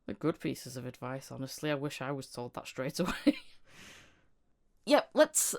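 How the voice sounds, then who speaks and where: A woman's voice: extremely low pitch, big pitch swings, normal speed, gravelly, a little mellow. A woman hosting a podcast in a casual, conversational interview style. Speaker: podcast host.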